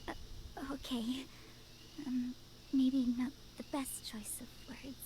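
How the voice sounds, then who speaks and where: Timid schoolgirl, very high voice, slow halting delivery, eager to please, visual novel game role. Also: level tone, mellow, slight background noise, low-quality recording. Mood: awkward, hesitant. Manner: hesitant, halting, meek.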